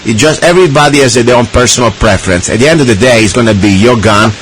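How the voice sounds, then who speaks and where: A man's voice: medium pitch, fast, faint music behind, poor audio. A man hosting a radio talk show, chatting casually with many restarts and fillers.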